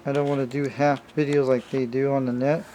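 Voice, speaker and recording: Male hobbyist, mid-pitched, informal and rambling, talking viewers through gear on a noisy amateur video. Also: flat delivery, fairly quick, warm tone. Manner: informal, rambling, addressing viewers as you guys. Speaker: hobbyist showing a vintage CB radio. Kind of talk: casual hands-on show-and-tell.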